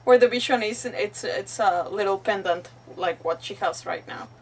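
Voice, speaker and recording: A woman's voice: mid-range voice, moderate pace, distant mic, a little hiss or hum, rough recording. A young woman from Mexico, a native Spanish speaker, chatting casually and bubbly as she streams a game. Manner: bubbly, rambling, giggly. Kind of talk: casual livestream chat while playing. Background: game music.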